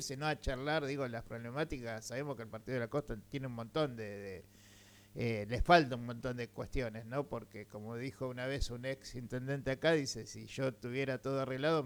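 An Argentine man hosts a radio interview in a smooth, resonant voice. He asks his questions in long, meandering stretches, full of hesitations and tag questions. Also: medium pitch. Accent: Argentine Spanish. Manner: rambling questions with many fillers and tag questions. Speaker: radio host.

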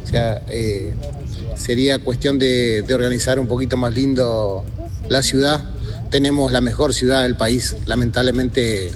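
A man's voice: mid-range voice, steady tone. An Argentine man calling in to a radio show, eagerly listing things in casual chat. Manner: enthusiastic, listing things rapidly. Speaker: caller.

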